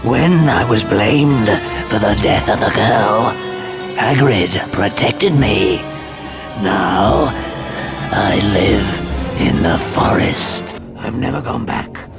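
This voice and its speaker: An actor playing an ancient giant male spider in a video game, grave and menacing, with a gravelly, halting, ominous delivery. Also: on the low side, expressive, heavily acted. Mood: grave, solemn. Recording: loud music under the voice.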